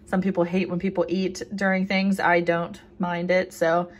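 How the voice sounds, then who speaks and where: A woman's voice: on the low side, flat delivery, normal speed. A young woman chatting casually to viewers in an upbeat vlog, talking while she eats in her home kitchen. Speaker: vlogger.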